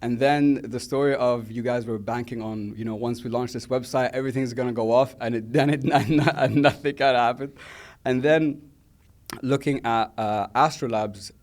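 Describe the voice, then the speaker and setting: A man's voice: medium pitch, fairly even intonation, moderate pace. Earnest young male interviewer. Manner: earnest, long-winded questions. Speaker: interviewer host. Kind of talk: interview questions.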